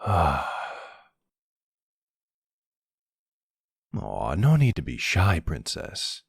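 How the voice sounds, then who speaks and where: A man performing as a predatory, teasing male vampire in an ASMR roleplay. His voice is very deep and husky, drawled slowly and seductively. It sounds smug and intimate. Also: huge pitch swings, no echo, studio quality, full character voice. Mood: flirty, amused. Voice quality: low, gravelly, husky. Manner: slow, taunting, seductive drawl.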